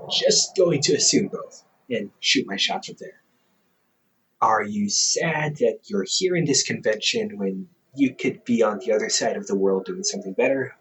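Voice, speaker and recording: Caring, stubborn big brother, played by a young man, audio roleplay: unhurried delivery, animated melody, softly teasing and hesitant. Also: medium pitch, rough recording. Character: caring, teasing older brother, around twenty, gently stubborn. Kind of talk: intimate audio roleplay, one-sided conversation.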